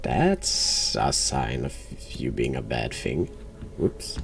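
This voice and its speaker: A man giving reactive gaming commentary in a gravelly voice, with dry, sarcastic, muttered replies. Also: very deep voice, very animated, slow pace, faint music behind. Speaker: game streamer, virtual YouTuber.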